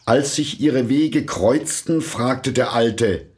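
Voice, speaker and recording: A man narrating a scripted fairy tale in a deliberate, expressive storytelling manner. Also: mid-range voice. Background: music.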